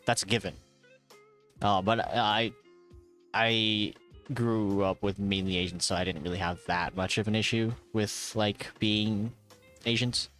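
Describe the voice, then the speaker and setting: A man's voice: lowish voice, bright, forceful tone. Casual young male streamer. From Thai heritage. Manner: swears often, laughs, reads chat aloud. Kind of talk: casual live-stream chat. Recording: faint music behind.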